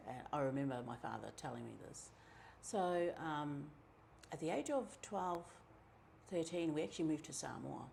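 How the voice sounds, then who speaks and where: A middle-aged woman from New Zealand with a New Zealand accent, telling her own story in a relaxed, chatty way, with many ums and asides. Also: deep voice, normal speed, vocal fry, a little hiss or hum. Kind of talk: casual personal storytelling.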